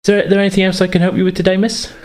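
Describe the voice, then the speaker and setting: A man's voice: sits high, completely flat delivery, racing, pitched above the performer's own voice, close to an everyday voice. A man voicing a polite, hesitant young male ticket clerk in a tabletop role-playing game. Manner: hesitant, deferential.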